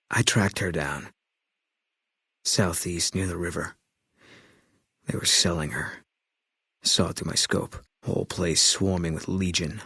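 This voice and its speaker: An actor playing a terse, bitter ex-army sniper in a video game, speaking in clipped, blunt lines. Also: male voice, low-pitched, fairly bright tone, slightly rough, no room sound, clean studio sound, heavily acted. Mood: guarded. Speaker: companion character in a video game. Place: recording studio. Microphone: close studio microphone.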